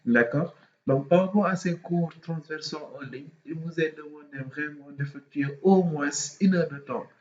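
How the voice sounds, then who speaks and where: A man talks students through procedures, his voice stuffy from a cold. He speaks in a halting way, with lots of fillers and restarts. The recording is dull and lo-fi, with a roomy echo. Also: a little high, a crowd in the background. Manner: hesitant, many fillers and repetitions. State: has a cold, congested voice. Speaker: student mentor. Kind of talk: informal explanatory video.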